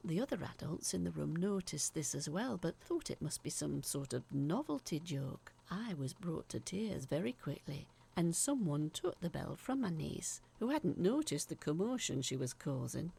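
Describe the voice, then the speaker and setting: A woman telling a spooky first-person story in a dramatic, uneasy, confiding way, sometimes dropping to a hush. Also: sits low, very animated, moderate pace, vocal fry, hardly any pauses, slightly rough. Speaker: narrator of a horror audio story.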